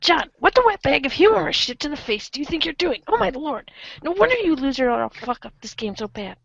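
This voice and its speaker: A woman's voice: high-pitched, big pitch swings, fairly quick, vocal fry, no echo, lo-fi sound, full character voice. A woman voicing a brash, exasperated, foul-mouthed alien troll in amateur readers' theater. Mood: exasperated, scolding. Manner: exasperated, insulting.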